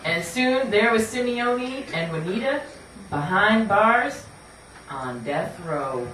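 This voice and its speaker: A woman's voice: medium pitch, lively intonation, takes it slowly, fairly bright tone. A woman narrating and hosting a live puppet show, telling the story theatrically in a folksy, playful way straight to the audience. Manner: folksy, playful, addressing listeners directly. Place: live show in a room, away from the mic. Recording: echoey room from far off, noisy.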